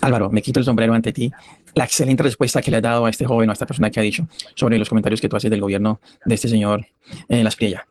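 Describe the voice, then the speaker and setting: A man's voice: lowish voice, steady tone, fast talker, darkish tone, dry recording. A man calling a radio show to give his opinion, emphatic and repeating his phrases. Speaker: radio call-in listener. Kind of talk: spontaneous opinion.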